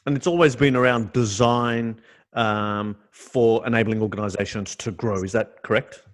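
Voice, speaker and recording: A man's voice: lowish voice, steady tone, moderate pace. Upbeat man hosting. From Sydney, Australia. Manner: upbeat, enthusiastic, friendly questioning. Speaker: interview host. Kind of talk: casual interview hosting.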